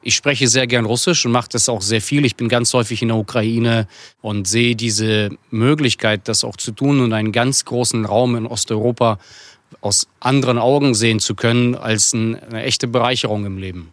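A man's voice: medium pitch, steady tone, normal speed, dry recording. A middle-aged man giving fluent, personal answers as an interview guest.

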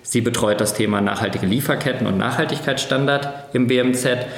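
A man's voice: medium pitch, fairly quick, echoey room. A man hosting an interview, asking long, winding questions full of fillers. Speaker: podcast host.

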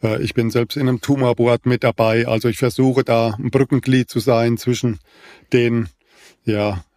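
Man in his fifties, podcast co-host; monotone, steady explainer, dry booth sound. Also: mid-range voice, no room sound. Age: 50s. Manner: measured, even-toned explaining with many fillers. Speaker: naturopath and co-host. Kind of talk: casual podcast conversation.

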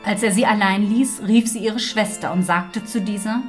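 Female narrator, mid pitch, scripted fairy-tale storytelling, music behind. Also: fairly even intonation, moderate pace.

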